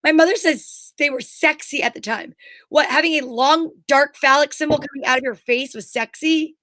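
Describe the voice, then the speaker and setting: A woman's voice: high-pitched, moderate pace, fairly bright tone. A woman co-hosting a casual chat show, talking in a blunt, emphatic, rambling way and swearing freely. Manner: rambling anecdotal storytelling. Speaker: show co-host.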